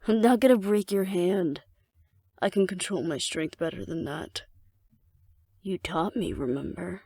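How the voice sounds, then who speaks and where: A woman's voice: on the low side, a little slow, mellow, slightly rough, no room sound, clean studio sound. A woman playing a cocky, flirtatious female alpha werewolf in an intimate audio roleplay, speaking to the listener in a wry, teasing, confident way. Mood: smug, playful bargaining.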